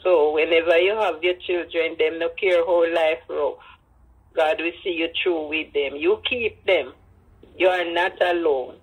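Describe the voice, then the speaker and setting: An elderly woman with a Jamaican accent and husky voice, telling personal stories with emphatic repetition and Creole phrasing. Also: low-pitched, fairly even intonation, a little slow, muffled recording. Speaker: interviewee, grandmother. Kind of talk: personal storytelling in an interview.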